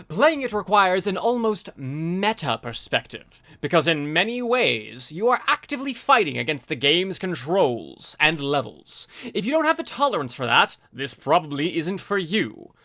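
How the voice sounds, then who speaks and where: This is a streamer with a bright voice and a lively, swinging pitch. The delivery mixes reading aloud with casual live commentary, often interjecting informal, sweary asides. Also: measured pace.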